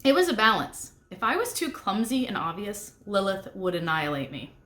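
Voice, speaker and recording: A woman's voice: mid-range voice, lively intonation, brisk, sharp tone. A woman reads a children's novel aloud in a mid-range voice. She narrates expressively in the first person, with a snarky, storytelling manner. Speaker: narrator reading a book aloud. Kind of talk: expressive middle grade audiobook narration.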